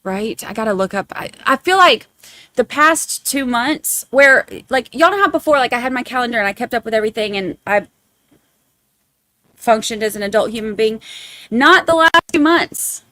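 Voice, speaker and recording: A woman's voice: on the high side, animated, moderate pace, bright, forceful tone, no echo. A woman with a Southern Georgia accent hosting a casual livestream, rambling and self-deprecating as she chats to her viewers. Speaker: livestream host covering trials.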